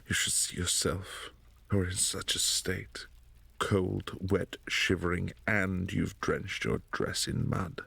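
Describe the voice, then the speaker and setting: Man voicing a vampire prince, possessive, commanding, deep gravelly voice, husky edge, ASMR roleplay. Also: flat delivery, slow pace, no room sound, heavily acted. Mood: cool, imperious. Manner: formal, commanding, softly menacing.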